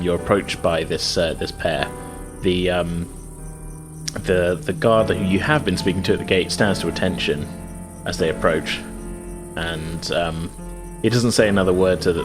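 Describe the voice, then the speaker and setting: A man improvising narration as game master of a tabletop roleplaying game, halting and circling back over his phrases. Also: sits low.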